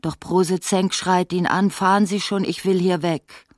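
A woman's voice: lowish voice, fairly even intonation, measured pace, dry recording, clean studio sound, natural voice. A woman narrating a literary radio drama, telling of events in a city. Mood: narrative.

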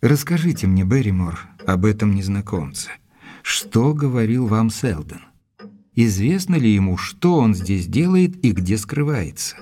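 An actor playing an earnest gentleman doctor in an audio drama, narrating and questioning in a measured, serious, deliberate way. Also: on the low side, very animated. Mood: probing, earnest.

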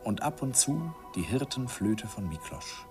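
A man's voice: medium pitch, very animated, a little slow, over music. An actor narrating a radio play as a middle-aged man fondly recalling his first love, in a wistful, reminiscing way.

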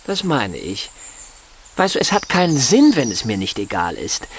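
An actor in a German radio drama playing a bitter, sardonic husband in a wheelchair who lectures and rationalizes with emphasis. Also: male voice, medium pitch, big pitch swings, normal speed, slight background noise. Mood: agitated, defiant.

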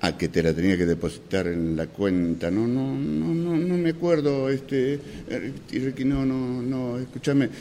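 A man's voice: medium pitch, flat delivery, unhurried, few pauses, dark tone, a little hiss or hum, close to an everyday voice. A man playing an evasive, flustered debtor in an improvised radio drama exercise, stammering and wheedling with an Argentine Spanish accent.

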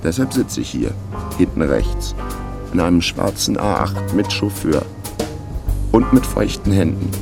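A man's voice: deep voice, big pitch swings, measured pace, creaky, long pauses, music in the background, exaggerated voice. An actor playing a wry, laconic, down-on-his-luck man of thirty in a radio crime drama. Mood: wry, brooding. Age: 30s. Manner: laconic, sardonic. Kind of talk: radio crime drama first-person narration.

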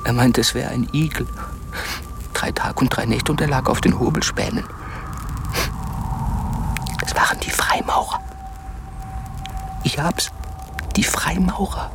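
An actor playing a haunted, paranoid soldier in a radio play, hushed and halting, speaking in fragments and whispers. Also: male voice, mid-range voice, lightly acted. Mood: haunted, fearful. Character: haunted, paranoid soldier muttering about eerie visions.

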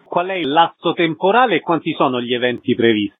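Male radio host introducing guests, kinda high voice, tinny phone-like audio. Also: expressive, quick pace, slightly rough. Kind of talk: radio presenting. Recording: narrow phone-like radio sound.

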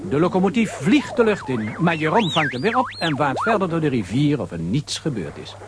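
A man with a husky voice narrating a scripted children's adventure story. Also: fairly high pitch, big pitch swings, fairly quick, very noisy.

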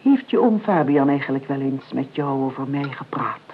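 An actress playing a sardonic, calculating older aunt in a Dutch radio play, speaking in a measured, dry way and drawing out key words. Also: female voice, rumbling low, lively intonation. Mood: sardonic reminiscing. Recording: tinny, band-limited old radio recording, clear noise.

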